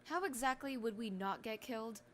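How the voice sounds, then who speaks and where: A woman's voice: fairly high pitch, a little fast, a bit bright, slight background noise, lightly acted. A woman acting a sarcastic, antisocial sceptic in an amateur audio drama, dry and grudging.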